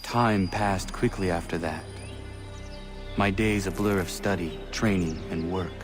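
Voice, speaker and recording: An actor playing an earnest, restrained young man in a video game, speaking in plain, formal, measured phrases. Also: low voice, fairly even intonation. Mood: solemn, restrained. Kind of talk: video game acting and scripted narration. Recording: music behind the voice. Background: music.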